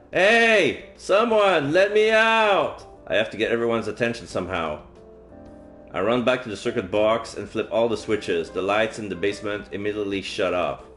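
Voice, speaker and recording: A man's voice: lowish voice, fairly bright tone. A man streaming a visual novel, reading its story aloud with dramatic delivery and offhand casual asides. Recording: game music faintly behind. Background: video game music.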